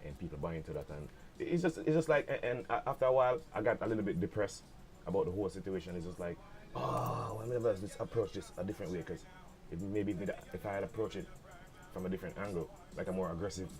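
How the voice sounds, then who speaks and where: Deep-voiced jamaican man, quick talk. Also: faint music behind. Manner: patois mixed with American slang, animated. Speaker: dancehall artist. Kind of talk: casual interview chat.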